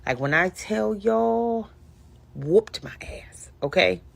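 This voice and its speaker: A woman in her thirties or forties talking slowly and emphatically in a reflective, confessional vlog. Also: medium pitch, animated, creaky. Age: 30s or 40s.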